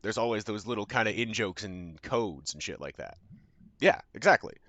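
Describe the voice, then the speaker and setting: A man's voice: lowish voice, expressive, moderate pace, a bit bright, no room sound, lo-fi sound. A young Canadian man with a Canadian accent, chatting casually with his stream viewers in a rambling, loose way with plenty of fillers and casual swearing. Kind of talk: casual chat with viewers while gaming.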